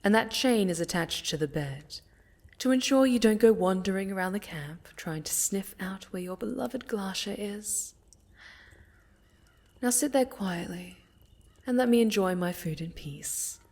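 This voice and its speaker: A woman playing a sinister, seductive necromancer villain in a fantasy ASMR roleplay, soft-spoken and half-whispered, with menacing, deliberate delivery. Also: medium pitch, expressive. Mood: seductive, commanding. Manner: slow, deliberate, menacing, savoring pauses.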